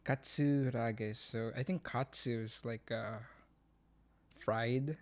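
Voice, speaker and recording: A man's voice: mid-range voice, steady tone, slow pace. Chatty young man with a Filipino accent. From Philippines. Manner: rambling, chatty, jokey tangents. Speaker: podcast co-host. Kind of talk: casual chat.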